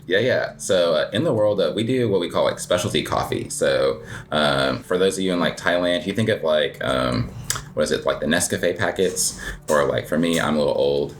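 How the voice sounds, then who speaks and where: A man talks in an easy, rambling way, full of little fillers and restarts. It sounds like a relaxed interview chat. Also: low-pitched, moderate pace, a little hiss or hum. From United States. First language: English. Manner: relaxed, rambling, heavy filler words, self-deprecating laughs. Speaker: guest, cafe owner and coffee competitor.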